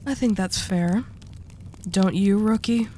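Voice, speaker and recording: A woman's voice: medium pitch, slow pace, warm tone, a little hiss or hum, natural voice. A woman playing a dominant, seductive mafia boss in a roleplay audio drama, teasing and possessive with a coaxing menace.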